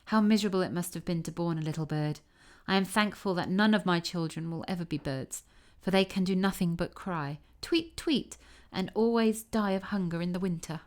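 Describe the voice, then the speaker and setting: A woman's voice: lowish voice, moderate pace, few pauses, natural voice. A woman voicing a learned, disdainful male mole in a storybook, with a pompous, dismissive manner. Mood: scornful.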